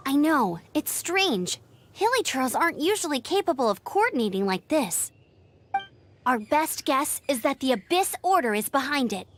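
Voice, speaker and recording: A woman's voice: sits high, normal speed, slight background noise. A woman playing a spirited, earnest young scout in an anime-style fantasy video game. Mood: earnest, serious. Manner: perky, earnest, expressive.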